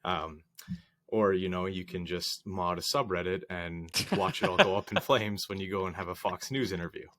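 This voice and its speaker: A very deep-voiced man with a slight rasp from a cold, talking casually on a podcast. The sound is dry and close, with no room around him. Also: lively intonation, normal speed, a bit bright, dry recording. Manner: joking, storytelling with analogies. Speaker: podcast co-host.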